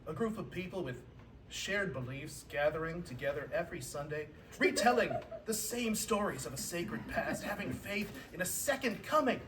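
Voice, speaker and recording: A man's voice: high voice, animated, moderate pace, distant mic. A man playing an earnest, self-justifying football-obsessed husband in a comedy table read, mock-solemn and preachy. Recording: noisy live room, off mic.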